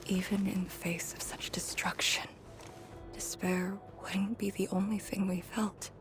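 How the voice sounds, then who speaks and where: A woman acts out a solemn young warrior woman in a video game. She speaks slowly and reflectively, often sinking into a breathy hush, with a wry edge. Loud music plays behind her. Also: medium pitch, natural voice.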